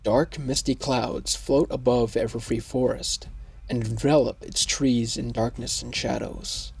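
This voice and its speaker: A man narrating a scripted fantasy story in a measured, descriptive way. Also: medium pitch, steady tone, creaky, darkish tone.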